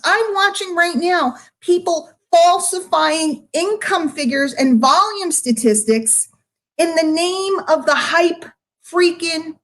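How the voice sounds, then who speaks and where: A middle-aged American woman giving a candid, off-the-cuff monologue to her followers, emphatic and confrontational, repeating phrases for stress. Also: sits high. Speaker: online marketer speaking to followers.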